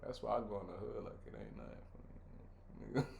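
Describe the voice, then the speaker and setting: Low-voiced American man in an echoey room. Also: normal speed, gravelly, darkish tone. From Africa, came from a war-torn country as a child. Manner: slangy, streetwise, conversational. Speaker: podcast co-host. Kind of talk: casual, candid chat. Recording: echoey room with faint noise.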